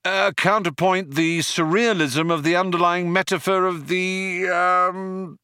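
A man voicing a quick-witted, panicky male traveler who bluffs urgently and hesitantly in a storybook. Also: high voice, fairly even intonation, sharp tone, smooth, no echo, clean studio sound, pitched above the performer's own voice. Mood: desperate bluffing.

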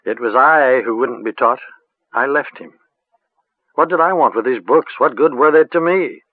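A woman's voice: extremely low pitch, moderate pace, slightly rough, dry recording, voice pushed up. A man voicing an old female village witch who speaks in a rustic, sing-song dialect, over a tinny, phone-like line. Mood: musing. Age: older.

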